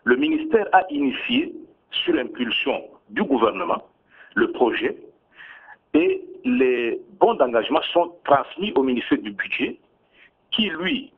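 A Congolese-accented man answers as a government minister in a radio interview. His voice is husky and moves animatedly up and down as he insists and repeats his points with emphasis. The sound is narrow and tinny, like a phone line. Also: on the high side. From Bunia, DR Congo.

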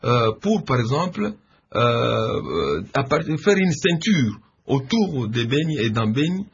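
A man answering radio interview questions, hesitant and measured with many fillers. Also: mid-range voice, very animated, slightly rough. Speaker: civil society leader, interviewed guest. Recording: dull lo-fi radio line.

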